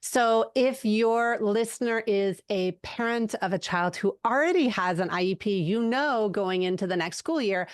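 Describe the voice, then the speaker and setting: A woman's voice: medium pitch, moderate pace, few pauses, smooth, dry recording. A middle-aged woman giving conversational advice as a podcast guest, explaining things informally. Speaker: podcast guest, educational advocate.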